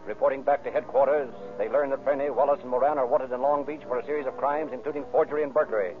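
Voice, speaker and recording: Music plays behind a narrow, phone-like vintage radio recording of a male narrator. He reads crime drama briskly, in a formal, dramatic manner. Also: medium pitch, fairly even intonation. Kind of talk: scripted old-time radio crime narration.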